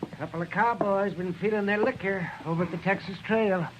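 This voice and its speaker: An actor playing a crusty, plain-spoken town doctor in an old-time radio western. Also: male voice, high voice, a little fast, close to an everyday voice. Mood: grumbling. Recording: muffled vintage radio, faint noise.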